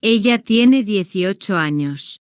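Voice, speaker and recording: A woman reading a simple first-person story for language beginners, in slow, deliberate, evenly paced short sentences. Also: mid-range voice, animated, clean tone, no echo, studio quality. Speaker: language-learning narrator.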